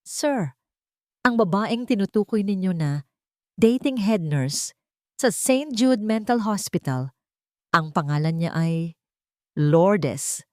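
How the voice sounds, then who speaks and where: A woman's voice: medium pitch, expressive, slow pace, pauses often, no room sound, studio quality, voice pushed up. A woman voicing a respectful private investigator who reports carefully in an audio story. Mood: discreet, serious. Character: deferential male private investigator reporting findings.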